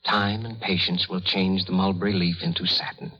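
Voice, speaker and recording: An earnest, educated young man of good family in a vintage radio drama. His low voice is formal with a slight stammer, and the recording is dull and muffled. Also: steady tone, normal speed, sharp tone. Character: earnest, well-bred young rich man's son. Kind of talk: 1930s radio drama acting.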